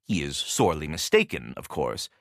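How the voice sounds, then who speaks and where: Fussy, wildly animated squirrel. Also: male voice, extremely low pitch, moderate pace, gravelly, no room sound, clean studio sound, exaggerated voice. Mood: worried, indignant. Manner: ornate formal diction, effusive courtesy, theatrical fretting. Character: fussy, verbose, polite talking squirrel, tends the World Tree. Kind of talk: video game character acting.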